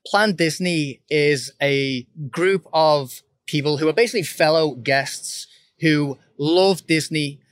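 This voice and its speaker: Friendly Liverpool-accented man, slightly high voice, dry close sound. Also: takes it slowly, no room sound. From England. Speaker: pastor and podcast guest. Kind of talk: casual chat.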